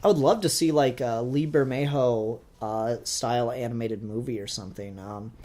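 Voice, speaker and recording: Man in a halting, casual review chat. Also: mid-range voice, mellow. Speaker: podcast co-host reviewing a fan film.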